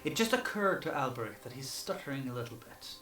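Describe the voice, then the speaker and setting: A man playing a tabletop roleplaying game, chatting casually in a halting way and repeating words as he goes. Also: medium pitch, big pitch swings, pushed and bright. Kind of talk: casual live-play game chat, partly in character. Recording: roomy, distant mic, music behind. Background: music.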